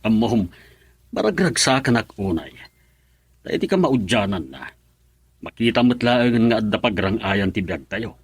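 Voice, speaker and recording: A cheerful Ilocano family man for radio drama. His pitch swings widely as he talks in a hearty, expressive way, and the sound is dry and close. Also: measured pace, pauses often, husky, no room sound, exaggerated voice. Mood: happy. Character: cheerful married father, husband of an overseas worker.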